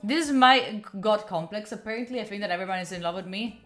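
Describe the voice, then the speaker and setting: A woman's voice: mid-range voice, fast talker, a bit bright, soft background music. A young woman with an Italian accent chatting casually on a live stream, rambling and giggling with lots of fillers. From Italy. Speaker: study streamer.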